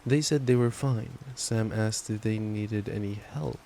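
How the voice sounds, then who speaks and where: A man's voice: fairly low pitch, measured pace. A young man doing intimate boyfriend ASMR and bedtime reading, speaking in an affectionate, casual, playful way. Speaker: ASMR roleplayer playing a boyfriend. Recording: steady rain noise. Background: rain sounds.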